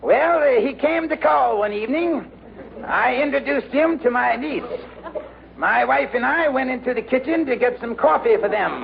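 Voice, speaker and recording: A mild hesitant family guy, creaky high voice, tinny old radio comedy. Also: heavily acted. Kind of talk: 1940s radio sitcom acting. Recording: tinny old radio recording, studio audience, hiss.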